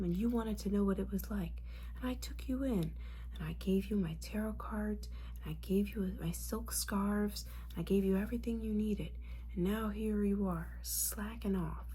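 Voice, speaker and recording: Woman as judgmental older witch teacher, soft mellow whisper, motherly scolding, wry, ASMR roleplay, audible noise behind. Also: medium pitch, close to an everyday voice. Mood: wistful, reproachful. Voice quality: breathy, mostly whispered. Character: disappointed older witch mentor, bossy, judgmental yet caring.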